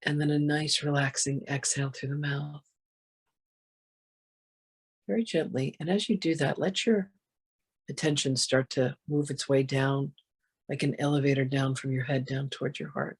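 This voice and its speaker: A meditation guide with a soft, breathy voice, speaking gently and soothingly with long, unhurried pauses. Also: medium pitch, steady tone.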